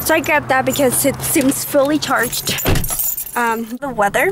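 A woman's voice: sits high, measured pace, noisy background. A young woman from Utah chatting casually on a vlog, full of "like" and "um", filmed on a handheld camera in her car and then in her bedroom. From Utah, USA. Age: young adult. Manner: chatty, lots of "like" and "um", excitable. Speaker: vlogger. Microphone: handheld camera at arm's length.

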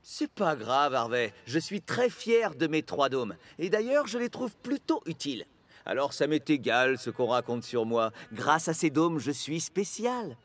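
Lively, cheerful cartoon steam engine. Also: male voice, fairly high pitch, measured pace. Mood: unbothered, proud. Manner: easygoing, encouraging. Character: cheerful, self-assured, friendly male dockyard steam engine. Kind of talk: children's cartoon acting.

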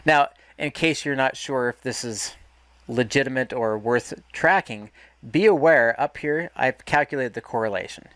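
A man's voice: medium pitch, normal speed, fairly bright tone, dry recording. A man walking through a market analysis lesson over a screen recording, explaining step by step with restarts and self-corrections. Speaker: market educator.

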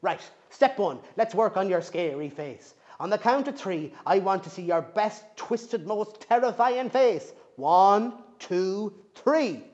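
A man voicing a bossy, scolding old banshee grandmother, raised and loud, on a dull lo-fi recording. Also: sits high, expressive, slow, few pauses, pitched above the performer's own voice.

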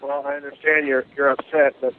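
A man's voice: medium pitch, level tone, brisk. A man speaking as a sheriff's officer on an official phone call, polite and matter-of-fact. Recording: noisy, tinny phone line.

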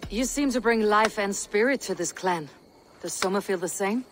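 An actress playing a stern, measured female Viking raider in a video game, speaking bluntly. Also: medium pitch, a little hiss or hum, close to an everyday voice. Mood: measured, probing.

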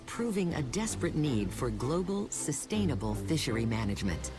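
A woman reading scripted narration for a documentary in a measured, polished way. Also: rumbling low, very animated, music in the background.

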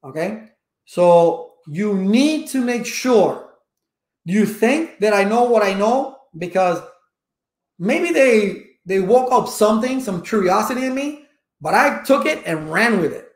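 Cuban-born guy in his 50s, spanish first language, high-ish voice, chatty webcam vlog in a roomy home office. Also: unhurried. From Cuba. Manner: animated, gestures a lot, rhetorical questions. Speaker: network engineering educator. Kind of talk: casual livestream talk to viewers. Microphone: webcam at desk.

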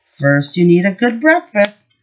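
A woman voicing a patient, reassuring mother mouse in a picture-book story, in a gentle, instructive way. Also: mid-range voice, sing-song, measured pace, lo-fi sound.

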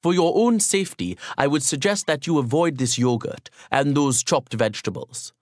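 A man's voice: a little high, normal speed, no echo, studio quality, pitched above the performer's own voice, close to an everyday voice. A man voicing a courteous, talkative young Pakistani man who hosts a guest with formal, reassuring politeness. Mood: polite, reassuring.

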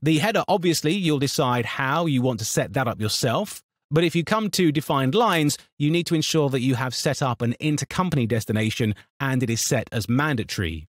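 A man narrating a scripted software tutorial in an instructional, step-by-step manner. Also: mid-range voice, expressive, fairly quick, few pauses, no room sound, studio quality.

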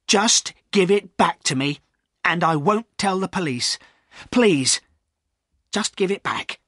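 A man's voice: medium pitch, big pitch swings, drawn-out words, breathy edge, no room sound, clean studio sound, heavily acted. A man voicing a distressed village weaver in a storybook, crying out and pleading. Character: frantic, miserable robbed village weaver, male.

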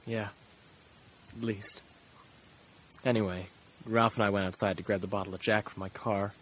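A man's voice: on the low side, level tone, moderate pace, fairly bright tone, close to an everyday voice. A man playing an earnest, easily rattled teenage boy in an audio drama, speaking in a casual, slangy way. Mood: recounting. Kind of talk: audio drama acting and first-person recounting. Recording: faint background noise.

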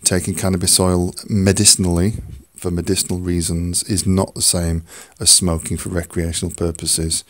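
Croaky, deep-voiced male podcast host, hesitant and full of fillers, close dry sound. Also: dry recording. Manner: halting, many fillers and restarts. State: hoarse, croaky from a cold. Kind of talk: casual solo podcast talk.

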